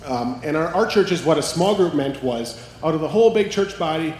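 A man with a Canadian accent, a ministry coach, telling his story during a sermon in a relaxed way. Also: fairly high pitch, brisk, a little hiss or hum. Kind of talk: co-teaching a sermon. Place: church sanctuary. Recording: echoey hall, faint ambience.

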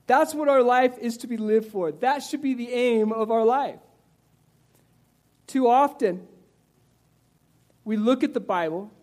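A man in his forties preaching a sermon in a conversational, animated way, with asides to the listeners and some restarts. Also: unhurried, pauses often. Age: 40s. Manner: animated, conversational preaching with rises in pitch and volume. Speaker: pastor.

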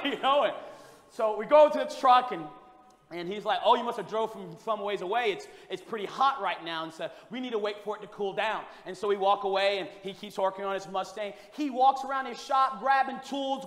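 A man preaching a sermon with a loud voice, moving between quiet storytelling and shouted preaching. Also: very high voice. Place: church hall. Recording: echoey hall with long reverb.

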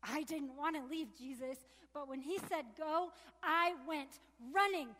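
A woman's voice: sits high, unhurried, a bit bright. A woman performing a biblical disciple in a heartfelt, emotionally charged first-person monologue. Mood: joyful. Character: grieving devoted woman disciple, earnest and emotional. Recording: long echoing reverb of a large hall.

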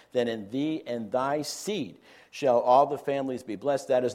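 A man's voice: medium pitch, darkish tone. A man preaching a sermon, earnest, with light humor and frequent fillers and restarts.

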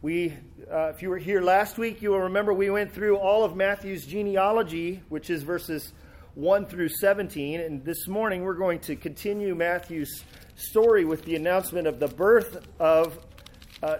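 High-pitched male pastor with a slight cold, easygoing preaching. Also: a little slow. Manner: relaxed, conversational, with fillers and restarts. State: sore throat and a cold. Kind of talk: sermon with scripture reading. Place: church sanctuary.

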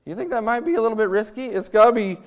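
High-voiced man preaching animatedly. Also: rapid-fire. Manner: animated, acts out quotes with humor. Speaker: missionary preacher. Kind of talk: sermon.

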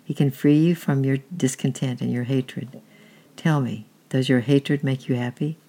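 A calm, devout traveler speaks gently and earnestly, as a man reads him aloud. The voice is gravelly, and his pitch stays flat and level. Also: moderate pace, close to an everyday voice. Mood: gentle earnest.

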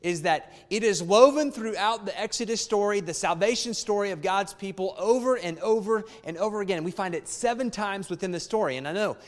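High-voiced male pastor in an echoing church. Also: a little fast, hardly any pauses. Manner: emphatic, repeats key phrases, conversational asides to congregation. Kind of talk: sermon. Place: church sanctuary.

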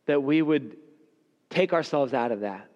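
A man preaching a sermon, his voice raspy and hoarse because he is losing it, speaking in an earnest, emphatic cadence. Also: mid-range voice, lively intonation, a little slow, a little mellow, lo-fi sound. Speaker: pastor. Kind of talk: sermon with scripture reading and prayer. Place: church sanctuary. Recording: long hall reverb.

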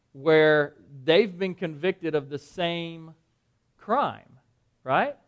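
A man preaches in a high, light voice. He keeps it conversational, firing questions at his listeners and doubling back on words as he goes. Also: lo-fi sound. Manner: conversational, quizzes the audience, repeats words. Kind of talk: interactive Bible-study sermon. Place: dim room with a live audience.

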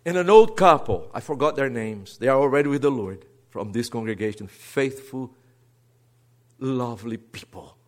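A man's voice: mid-range voice, expressive, moderate pace. Brazilian-accented man preaching a sermon. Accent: Brazilian Portuguese. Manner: earnest, swings between soft asides and emphatic rises. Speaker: guest preacher, seminary teacher.

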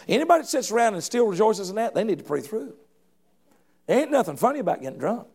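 A middle-aged man preaching with fervent, folksy conviction. His voice sits high for a man and swings widely in pitch, with a gravelly edge. He restarts phrases often and keeps calling out to the congregation. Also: very animated, fast, darkish tone. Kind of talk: sermon.

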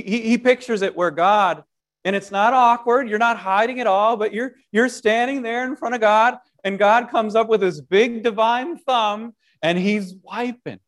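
A man preaching an Easter sermon as pastor, animated, moving between loud proclamation and quiet, self-deprecating conversational asides. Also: high-pitched. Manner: animated preaching with wide swings in loudness.

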